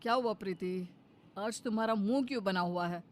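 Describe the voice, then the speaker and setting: A woman's voice: medium pitch, fairly quick, slight background noise, natural voice. A woman voicing a tired, dismissive young husband in a cartoon story, speaking in an exasperated, defensive manner.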